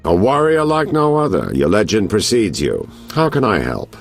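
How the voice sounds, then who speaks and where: Knowing, insinuating game detective: husky, creaky, very low, animated. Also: male voice, moderate pace, faint music behind, full character voice. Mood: sly, knowing. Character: sly, knowing male private detective selling information. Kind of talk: video game character acting.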